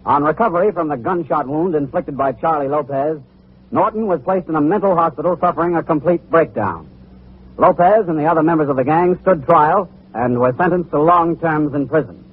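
A man's voice: a little high, breathy edge. A man delivering formal scripted narration for an old radio crime drama. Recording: tinny narrow old radio recording.

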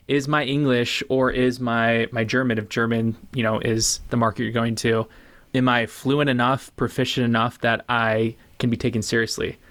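A man being interviewed as a guest on a podcast, speaking casually and thoughtfully, repeating words and slowing down to stress key points. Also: mid-range voice, level tone, fairly bright tone. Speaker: podcast guest, branding expert.

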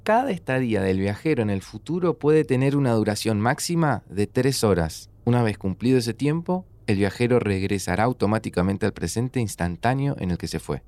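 Low-voiced skeptical Argentine man. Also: expressive, brisk, few pauses, darkish tone, dry recording. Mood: reading aloud. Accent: Argentine Rioplatense. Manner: wary, dry, informal. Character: skeptical, cautious young man, loyal exasperated friend. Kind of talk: naturalistic audio fiction acting.

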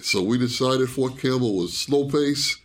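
An older man from New York, a retired soldier, telling his story in a relaxed, plain-spoken way during a casual interview. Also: medium pitch, a little slow.

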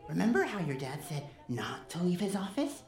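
A woman's voice: fairly low pitch, big pitch swings, moderate pace, a little mellow. A woman acting in an audio drama as an intense, persuasive captive child, speaking earnestly and urgently. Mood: grave. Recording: roomy, echoing student audio drama.

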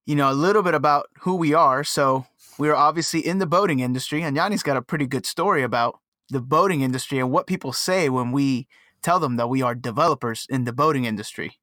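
A man's voice: mid-range voice, quick pace, pushed and bright, no room sound. An easygoing man born in Cuba chats as co-host of a casual podcast. His voice is recorded close and dry, with no room sound.

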